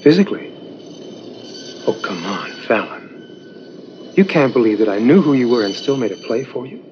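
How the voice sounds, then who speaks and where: An actor playing a smooth, scheming, brazen young heir in a 1980s television soap drama. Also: male voice, medium pitch, very animated, moderate pace, a little hiss or hum, close to an everyday voice. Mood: brazen, confrontational. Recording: muffled TV soap clip under music.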